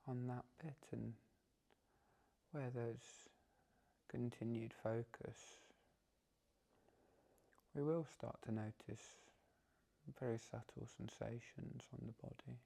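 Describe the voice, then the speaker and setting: Man, guided meditation, soft dark tone, very flat melody, calm, slow-unfolding phrases with long gaps. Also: medium pitch, vocal fry, slightly rough. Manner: calm, unhurried, with long pauses between phrases. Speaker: meditation teacher.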